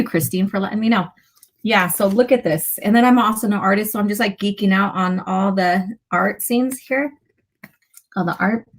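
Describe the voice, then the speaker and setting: A woman with a slightly raspy voice hosting a casual live chat, talking to viewers in a chatty way with pauses and asides. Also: mid-range voice. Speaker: livestream host.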